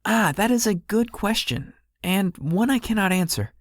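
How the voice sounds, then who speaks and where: Man playing a gracious, animated young tech guru. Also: high-pitched, no echo, studio quality. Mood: measured, guarded. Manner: measured, polite, formal. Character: gracious young male tech guru, celebrity conference speaker. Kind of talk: visual novel character acting.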